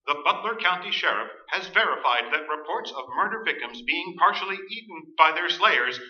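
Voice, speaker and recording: A man's voice: fairly high pitch, animated, moderate pace, bright, forceful tone. A male actor in a staged radio play, playing a grave newsreader delivering a bulletin. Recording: dull, echoey live stage recording.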